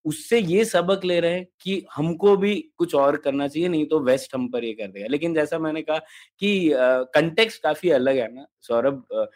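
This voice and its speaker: A male podcast co-host with a fairly high voice and a sore throat. He explains things conversationally, building his argument one step after another. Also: moderate pace. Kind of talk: conversational policy analysis.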